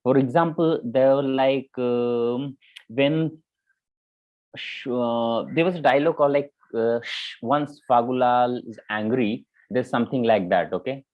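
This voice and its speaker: Indian guy giving feedback on a video call, kinda halting, repeats himself, dull muffled sound. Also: mid-range voice, darkish tone. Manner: halting, repetitive, often checking with "you know". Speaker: judge and mentor giving feedback. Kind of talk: spoken critique, informal feedback. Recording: muffled, band-limited online call audio.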